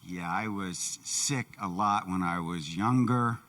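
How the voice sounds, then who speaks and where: A man's voice: deep voice, slow, fairly bright tone, far from the mic. A man interpreting for a deaf guest, voicing his answers in plain, brief sentences. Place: church sanctuary.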